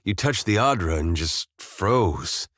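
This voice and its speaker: Low-voiced, folksy, wry farmer adventurer. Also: male voice, animated, slow pace, a bit bright, no room sound, heavily acted. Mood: wry, concerned. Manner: folksy, dry understated humor, plain-spoken. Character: laid-back, wry farmer turned adventurer, good-natured young-to-middle-aged man. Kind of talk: scripted video game dialogue.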